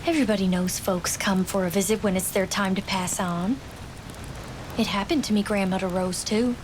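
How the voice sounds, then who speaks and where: A woman playing a young, grieving wartime nurse in a game drama, soft and wistful, with a Newfoundland accent. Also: mid-range voice, fairly even intonation, moderate pace, background noise, natural voice. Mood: hushed storytelling. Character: grieving wartime nurse, sister of a fallen soldier. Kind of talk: dramatic game cutscene storytelling.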